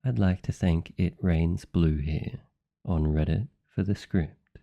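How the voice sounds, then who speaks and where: Low-voiced British man signing off. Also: steady tone, slow pace, gravelly, dark tone. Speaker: ASMR voice actor. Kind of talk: casual channel sign-off.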